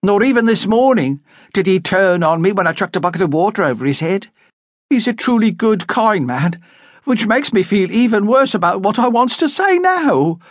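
A grieving young wife, as a man puts her on, speaks earnestly. Her voice rides high above the narrator's with lively pitch. The sound is dry and close. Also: normal speed, no echo. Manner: earnest, urgent.

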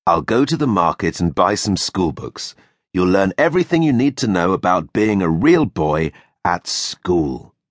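A man voicing a lonely, gentle old carpenter who speaks in a fatherly way. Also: deep voice, very animated, measured pace, no echo, clean studio sound, exaggerated voice. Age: older.

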